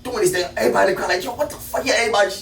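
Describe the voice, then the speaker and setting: Animated fast-talking South Florida rapper. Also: male voice, high-pitched, gravelly, a little hiss or hum. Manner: animated slangy storytelling. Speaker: rapper guest. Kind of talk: casual interview chat. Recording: roomy, mic at a distance.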